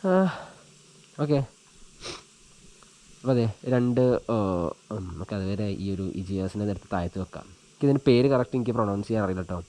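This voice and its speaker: A man's voice: lowish voice, brisk, pauses often, mellow, poor audio. A man chatting casually as he gives a hands-on tutorial, thinking aloud informally while he works. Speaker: vlogger giving a hands-on tutorial. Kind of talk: casual chat while demonstrating an installation. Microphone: action camera mounted on a helmet he wears.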